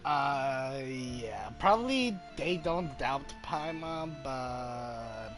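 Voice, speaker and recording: A woman's voice: extremely low pitch, expressive, drawn-out words. A woman giving casual gameplay commentary as a gaming streamer. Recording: game music behind the voice.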